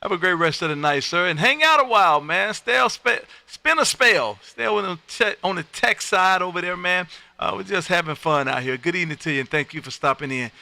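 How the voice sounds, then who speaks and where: A man's voice: sits high, animated, a little fast, creaky, sharp tone. A man from Texas hosting an amateur radio livestream, chatting and calling out in a folksy, effusive way and repeating his phrases. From United States, Texas. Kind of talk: casual ham radio chat and call-outs.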